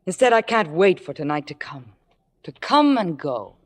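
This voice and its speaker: An actress playing an ambitious, scheming young stage star in an old radio drama, speaking theatrically with a poised surface. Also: female voice, on the low side, sing-song, moderate pace, big-room sound. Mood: poised, eager. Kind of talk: 1950s radio drama acting.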